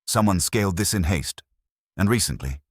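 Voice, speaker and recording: A man reading the part of a terse, brooding Victorian detective in an audiobook, grave and deliberate. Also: deep voice, lively intonation, no room sound, clean studio sound. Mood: terse, focused. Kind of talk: audiobook character dialogue.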